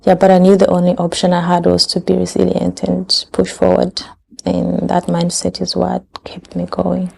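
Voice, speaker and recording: A woman from Rwanda recalling her past in a slow, measured, flat personal testimony. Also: on the low side, vocal fry. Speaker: interviewee.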